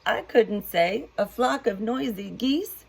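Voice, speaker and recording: A woman voicing Molly, a giddy young female cow at the wheel of a truck in a storybook, squealing high with excitement. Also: slow, vocal fry, slightly rough, exaggerated voice.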